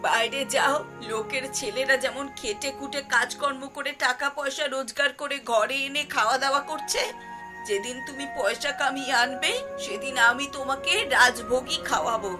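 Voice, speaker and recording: A woman's voice: sits high, normal speed, over music, close to an everyday voice. A woman voicing a hard-pressed, scolding mother of an idle son, sarcastic and nagging, in a moral-tale cartoon.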